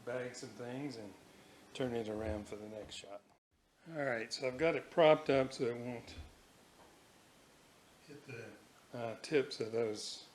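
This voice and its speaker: A man casually narrating his hands-on repair work at a workbench, half muttering to himself in halting fragments. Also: fairly low pitch. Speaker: hobbyist radio restorer. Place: indoor workbench room. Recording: roomy, echoing, noisy workshop recording.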